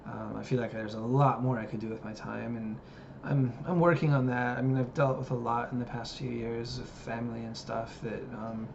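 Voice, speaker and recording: A man's voice: mid-range voice, level tone, normal speed, gravelly, hardly any pauses. Musing man in his thirties, lo-fi. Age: 30s. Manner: musing, hesitant, many fillers. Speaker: vlogger. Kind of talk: reflective personal monologue. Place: living in Japan. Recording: dull lo-fi audio with background noise.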